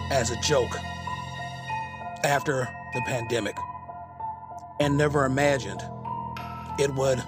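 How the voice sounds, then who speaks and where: Male podcast host, middle-aged, medium pitch, slow measured phrasing, music playing behind. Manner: measured, deliberate, pausing between phrases. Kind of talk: scripted podcast introduction. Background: prominent music.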